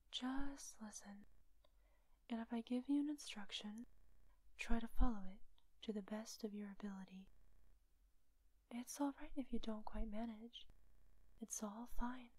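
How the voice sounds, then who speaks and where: A woman's voice: on the high side, dry recording, rough recording. A woman playing a coaxing, manipulative villain captor, whispering soothing hypnosis instructions in an ASMR roleplay. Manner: hushed, breathy whispering, slow, coaxing instructions with long pauses.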